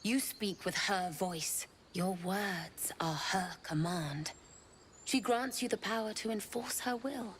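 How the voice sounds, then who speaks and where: Slow, solemn female cult follower. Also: mid-range voice, a little hiss or hum. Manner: solemn, reverent, earnest. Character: devout, grieving young female cult follower. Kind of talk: dramatic video game acting.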